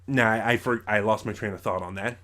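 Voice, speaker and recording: A man's voice: on the low side, steady tone, brisk, a bit bright. A man hosting an informal spoken review, opinionated and rambling, often stopping to correct himself. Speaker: reviewer, channel host.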